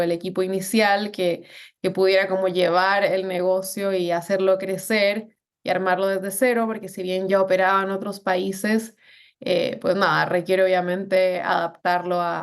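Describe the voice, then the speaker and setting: A woman in her thirties from Bogotá, Colombia, with a Spanish accent blended from Colombia and Chile. She is a guest in an interview, talking in a relaxed, thoughtful way with frequent fillers. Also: mid-range voice, creaky, clean tone. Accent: mixed Colombian-Chilean Spanish. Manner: thoughtful, many fillers, laughs easily. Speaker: guest, business manager. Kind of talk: casual interview talk.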